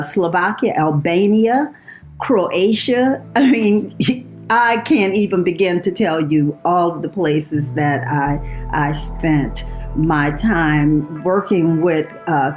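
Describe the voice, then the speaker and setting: An older woman from New Orleans introduces herself in a recorded video. She speaks in a steady, reflective way, and her voice sits a little low. Faint music plays softly underneath.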